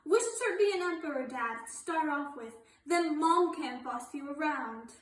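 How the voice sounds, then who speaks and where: A woman's voice: high-pitched, animated, moderate pace, distant mic, slight background noise. A young woman in an amateur school play acting an eager, dreamy young daughter. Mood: teasing. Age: young adult. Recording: echoey room.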